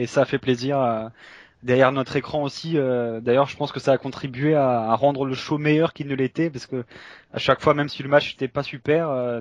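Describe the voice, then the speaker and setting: A man's voice: mid-range voice, no room sound. A man from Strasbourg co-hosts a casual podcast in a laid-back way, dropping little filler tags at the ends of his phrases. His voice sits in the middle of the range. The audio is dry and a bit dull. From Strasbourg, northeastern France. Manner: relaxed, tags "hein" and "ouais". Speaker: podcast co-host.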